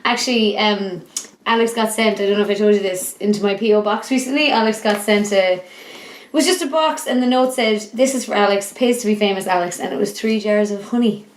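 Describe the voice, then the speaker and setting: A woman's voice: mid-range voice, brisk. An Irish woman hosting a podcast, chatting casually with a friend and asking questions. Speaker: podcast host. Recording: echoey room with faint noise.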